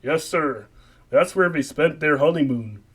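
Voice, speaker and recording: A man's voice: on the high side, expressive, measured pace, husky. A man playing a folksy, conversational narrator of a puppet holiday tale in a comic radio play. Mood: folksy storytelling.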